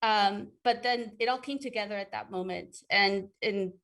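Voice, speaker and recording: A woman's voice: medium pitch, normal speed, fairly bright tone. A woman from Ecuador, a guest in an interview, answering thoughtfully with frequent fillers and restarts.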